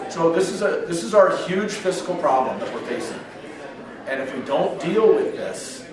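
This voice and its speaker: A man in his fifties from Northern California, hoarse with a cold, giving an earnest campaign speech in a restaurant. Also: medium pitch. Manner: earnest, steady, heavy with figures. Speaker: political candidate. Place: restaurant function room. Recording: echoey room from far off, crowd murmur. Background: crowd chatter.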